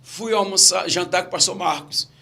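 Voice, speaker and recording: A man's voice: sits high, moderate pace, creaky, pushed and bright. A middle-aged man from Brazil, a pastor with a stammer, telling stories in casual podcast chat and repeating his key phrases.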